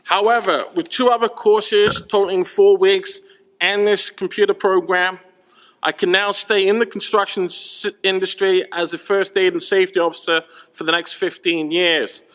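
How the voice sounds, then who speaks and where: Man with a high voice, earnest speech read out in a reverberant hall. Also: fairly even intonation, normal speed, a bit bright. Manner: earnest, reading out a prepared text. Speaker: student speaking at a rally. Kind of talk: prepared public speech.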